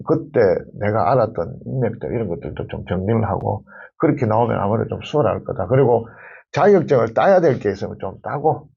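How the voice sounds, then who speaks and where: Husky, animated middle-aged man giving advice. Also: lowish voice, lo-fi sound. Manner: emphatic, instructive, repeats key phrases. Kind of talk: conversational advice to camera in an interview.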